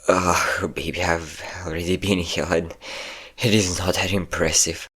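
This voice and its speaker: A man's voice: deep voice, little pitch movement, measured pace, fairly bright tone, husky, exaggerated voice. A man voicing a possessive, sadistic and flirtatious vampire captor in an intimate roleplay audio drama, teasing and commanding. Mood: coaxing, dominant. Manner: teasing, commanding, theatrically smug.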